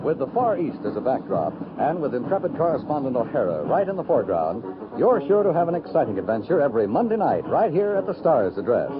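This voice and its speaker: Animated American radio announcer, male. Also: medium pitch, fast, phone-quality sound. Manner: polished, promotional. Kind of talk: scripted 1950s radio announcing. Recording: thin 1950s radio recording. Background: music.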